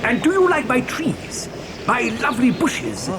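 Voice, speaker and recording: A man's voice: extremely high pitch, huge pitch swings, a little slow, background noise, full character voice. A man playing an eccentric, gleeful chocolate factory owner in an audio dramatisation, effusive, stammering and theatrical. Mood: proud, excited.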